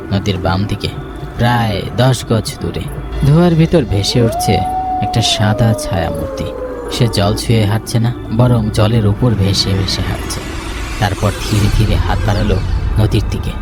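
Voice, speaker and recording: A man narrating a scripted ghost story in a dramatic storytelling style. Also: lowish voice. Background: background music.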